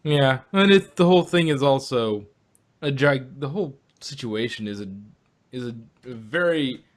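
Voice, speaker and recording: A man's voice: medium pitch, lively intonation, moderate pace. A man co-hosting an audio drama review podcast, talking loosely with many restarts and easy laughs. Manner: rambling, restarts often, laughs easily. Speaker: podcast co-host. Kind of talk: casual review chat.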